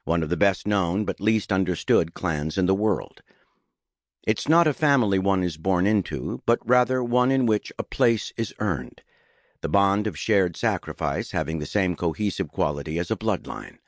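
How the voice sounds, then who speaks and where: A man reading a nonfiction audiobook in a measured, deliberate way, as a scripted narration. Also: sits low, dry recording, clean studio sound. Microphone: close studio microphone.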